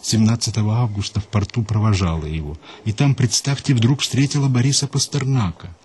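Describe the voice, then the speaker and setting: A man hosting a radio program, reading a scripted story in a measured, unhurried way and dropping lower and quieter for quotations. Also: husky. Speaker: radio host and narrator.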